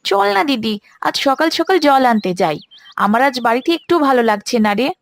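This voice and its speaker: Woman voicing a high, wheedling greedy village girl. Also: expressive, normal speed, creaky. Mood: coaxing. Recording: electronic music behind the voice.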